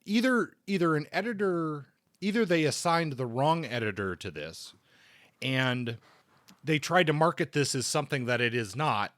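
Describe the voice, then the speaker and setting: A man co-hosting a podcast, casually discussing books in a halting way, often rephrasing as he thinks aloud. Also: a little high, big pitch swings, a bit bright, no echo, clean studio sound.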